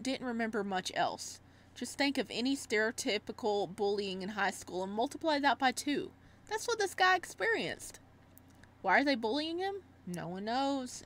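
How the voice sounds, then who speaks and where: A woman streamer reading a visual novel aloud in an expressive way, breaking off for casual reactions. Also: a little high. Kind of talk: let's-play read-aloud with casual reactions.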